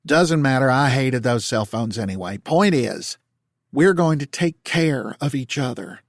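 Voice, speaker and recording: A man's voice: medium pitch, expressive, unhurried, dry recording, clean studio sound, voice pushed up. A man voicing an earnest, motherly older woman with a down-home Southern accent and a folksy, pleading manner. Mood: reassuring. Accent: American Southern.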